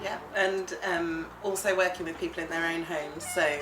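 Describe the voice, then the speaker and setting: Woman coordinator, low voice, earnest, roomy room, music bed. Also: fairly even intonation, moderate pace. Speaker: project coordinator. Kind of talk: documentary interview.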